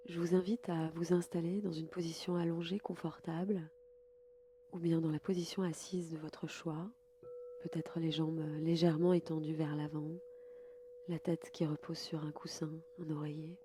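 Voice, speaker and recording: A woman guiding a relaxation session slowly and calmly, in a soft, breathy voice that often nears a whisper. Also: low-pitched, slight background noise. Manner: calm, soothing, unhurried. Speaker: podcast host and yoga nidra guide. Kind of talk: slow, soft guided relaxation.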